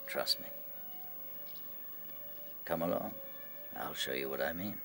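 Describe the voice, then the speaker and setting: Very deep, gravelly otherworldly rabbit lord. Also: male voice, bright, forceful tone, full character voice. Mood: gentle, reassuring. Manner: slow, soothing, reassuring. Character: otherworldly male rabbit lord, calm, gentle, summoning the dying. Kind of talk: radio drama acting. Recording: music behind the voice.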